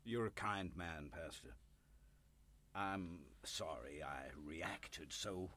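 An actor in a radio play playing a proud, volatile, destitute storekeeper of sixty-eight who swings between indignation and despair. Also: male voice, deep voice, takes it slowly, pushed and bright, heavily acted. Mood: weary, contrite.

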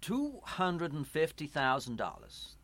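An actor playing a kindly, middle-aged pastor, earnest and hesitant, in a radio play. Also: male voice, on the high side, huge pitch swings, fairly bright tone, dry recording, exaggerated voice. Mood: hesitant, awkward. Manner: hesitant, halting, earnest, placating.

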